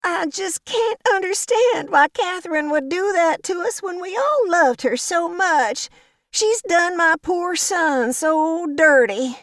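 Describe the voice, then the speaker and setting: A high, shrill, simpering old lady, all false tears and theatrical sighs. The voice sits far above the reader's own and has a strained, put-on edge. The recording is dry and close. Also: animated, slow, a bit bright, no echo, heavily acted. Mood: simpering, fake-tearful. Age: older. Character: gossipy, sanctimonious old woman, falsely tearful.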